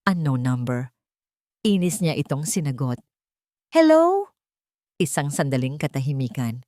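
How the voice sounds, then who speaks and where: Female audiobook narrator, dark mellow tone, dramatic scripted delivery, very dry close sound. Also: extremely low pitch, normal speed, no echo.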